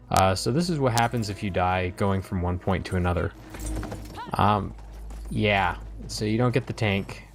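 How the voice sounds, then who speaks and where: A man with a deep, gravelly voice hosts a gaming commentary. He talks casually, as to co-commentators, over what is being played. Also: slight background noise. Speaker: host of a game let's play.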